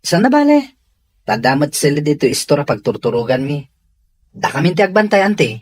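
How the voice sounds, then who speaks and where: An actor with a Filipino accent playing a friendly, reassuring young store helper in a radio drama. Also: male voice, on the high side, very animated, fairly quick.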